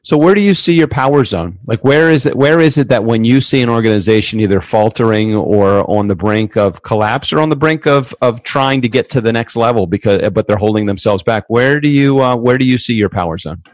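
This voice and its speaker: Host masculino de podcast: voz média, fala informal, entusiasmada e cheia de recomeços, sem eco. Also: male voice, medium pitch, brisk, few pauses, no echo. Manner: upbeat, chatty, with restarts. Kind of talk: casual podcast interviewing.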